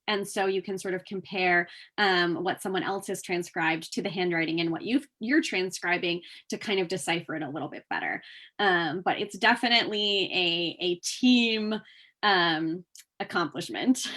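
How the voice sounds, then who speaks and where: A woman's voice: medium pitch, pushed and bright. A woman presenting at a workshop, explaining things informally and conversationally as she takes questions from participants. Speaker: workshop presenter for a museum transcription program.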